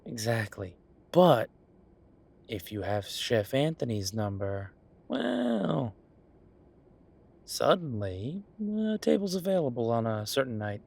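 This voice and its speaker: A man playing an easygoing chef in an audio roleplay, talking in a casual, musing, explanatory way to his partner, with a slightly husky voice. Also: medium pitch, very animated, slow, long pauses, a little mellow, heavily acted. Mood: fond, reminiscing. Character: easygoing male chef planning restaurant business with his partner. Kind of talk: one-sided roleplay acting, casual chat.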